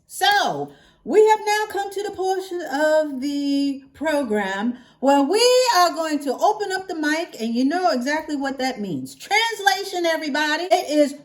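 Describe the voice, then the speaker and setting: A woman's voice: sits high, lively intonation, unhurried. A woman hosting her own vlog, chatting casually to her viewers and playfully stretching out catchphrases for emphasis. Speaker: hair vlogger hosting her own channel.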